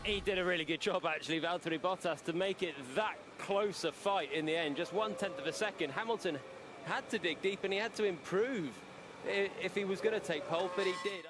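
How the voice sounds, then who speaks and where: A man giving measured, analytical co-commentary on a motor race. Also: sits high, background noise. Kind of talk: live motor racing analysis.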